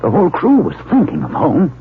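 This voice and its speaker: An actor playing a fearful, suspicious doctor from a space crew in a 1950s radio drama. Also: male voice, fairly high pitch, huge pitch swings, brisk, gravelly, exaggerated voice. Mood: tense reasoning. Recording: tinny, faintly noisy 1950 radio recording.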